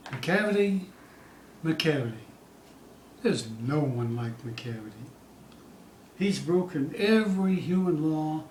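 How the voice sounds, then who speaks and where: A man giving a theatrical poetry recital, dramatic and suspenseful, with drawn-out phrases moving between hushed dips and loud peaks. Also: fairly high pitch, animated. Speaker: poetry reader. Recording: distant, echoey room with faint hiss.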